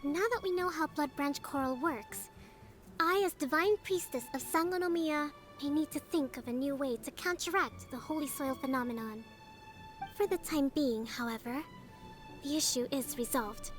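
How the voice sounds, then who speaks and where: A woman playing a gentle, composed young priestess leader in a video game, speaking in a measured, formal and polite way. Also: high-pitched, lightly acted. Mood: grateful. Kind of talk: video game acting, expository dialogue. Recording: soft game music behind the voice.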